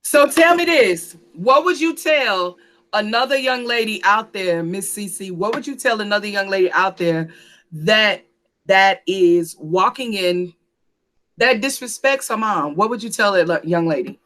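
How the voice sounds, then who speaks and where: A woman hosting a church panel discussion, lively and preacherly, repeating her phrases. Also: mid-range voice, unhurried.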